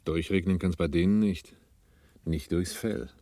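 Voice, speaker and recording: An actor playing a sly, self-assured arms dealer in a German radio drama, with a suave, dryly ironic manner. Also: male voice, low-pitched, measured pace, dark tone. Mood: dry, relaxed.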